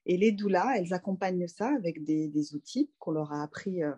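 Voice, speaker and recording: A woman in her thirties from France, a doula speaking as a guest in a relaxed interview, thinking aloud with frequent fillers and restarts. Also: fairly low pitch, brisk, slightly rough. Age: 30s. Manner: reflective, many fillers and restarts. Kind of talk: casual conversational interview.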